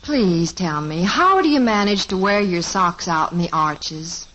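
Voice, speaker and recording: Teasing mother, lively, animated voice, lo-fi radio. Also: lowish voice, measured pace. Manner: dry, teasing. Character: patient, teasing middle-aged mother and wife. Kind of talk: 1950s radio sitcom acting. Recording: dull lo-fi old radio transcription.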